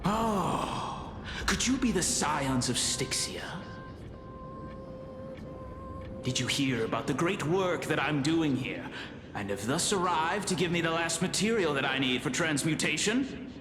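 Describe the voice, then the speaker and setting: A boastful ancient alchemist, theatrical and sinister. The voice is raised in pitch and swings widely, savoring each pompous phrase at a slow pace in a game scene. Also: male voice, very animated. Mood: intrigued, sly. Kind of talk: scripted fantasy game voice acting. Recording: echoey hall, music behind.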